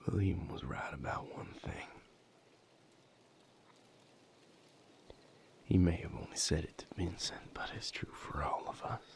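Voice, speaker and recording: A man playing an intense, brooding vampire lover in an intimate audio roleplay, speaking in a gravelly, breathy near-whisper. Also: deep voice. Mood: weary, resolute. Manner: slow, intimate, emotionally intense.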